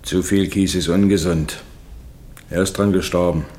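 World-weary guard, male actor: deep register, dry, few words, crime radio play. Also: steady tone, normal speed, darkish tone, lightly acted. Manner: laconic.

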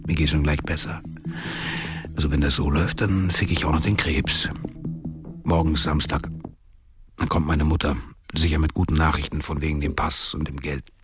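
An actor playing a crude, cynical imprisoned gangster, gloating coarsely to himself in a radio crime drama. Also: male voice, a bass voice, fairly even intonation, normal speed, long pauses, soft background music. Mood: gloating, vulgar.

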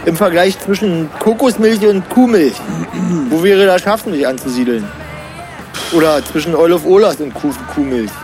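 A man's voice: a little high, normal speed, music in the background, close to an everyday voice. An actor playing an indignant man out drinking with friends in a comedic radio play, rambling and stammering. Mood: mock-fatherly, sceptical. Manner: rambling, repetitive, stammering when worked up.

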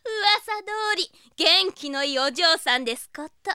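Haughty, high-voiced rich-girl princess. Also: expressive, measured pace, pushed and bright, no room sound, clean studio sound, exaggerated voice. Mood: grand, self-satisfied. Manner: pompous, mannered ojou-sama politeness. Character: haughty, affected rich-girl princess with ornate polite speech. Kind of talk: anime audio drama acting.

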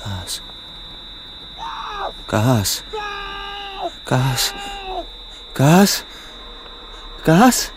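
An actor playing a young German soldier who narrates the horrors of the front in terse, intense fragments for a radio play. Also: male voice, medium pitch, wildly swooping pitch, very slow, long pauses, noisy background, heavily acted. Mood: frightened, breathless. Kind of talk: dramatic radio play narration in present tense. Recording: loud war effects and music underneath. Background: music, battle sound effects.

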